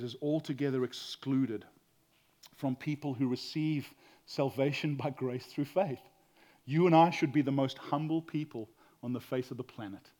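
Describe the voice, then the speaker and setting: A man with a loud voice preaching a sermon as pastor, swinging between hushed whispers and loud exclaiming, often stammering and repeating words. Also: mid-range voice.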